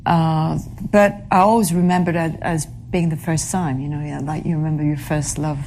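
A woman with a notably low voice answers interview questions in a reflective, halting way. She pauses, reaches for words and fills the gaps with small hesitations. A faint hiss sits under her voice. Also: steady tone, a little mellow. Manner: halting, searches for English words, many fillers. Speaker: actress being interviewed.

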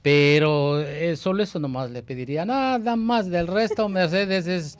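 A man's voice: a little high, a little slow, dry recording. A man hosting a radio show, joking and teasing in a courteous, formal way. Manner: jovial, teasing, formally polite. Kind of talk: casual radio chat.

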